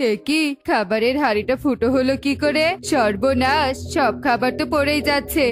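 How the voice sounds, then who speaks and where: A woman's voice: sits high, moderate pace, soft background music, natural voice. A woman voicing a haughty, heartless rich eagle in a cartoon, scornful and scolding. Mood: alarmed anger.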